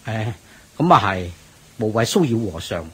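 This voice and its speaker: Courteous man, very husky voice. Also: fairly low pitch, sing-song, measured pace, heavily acted, poor audio. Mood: considerate. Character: courteous, attentive man helping host a temple gathering. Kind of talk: Cantonese radio drama acting.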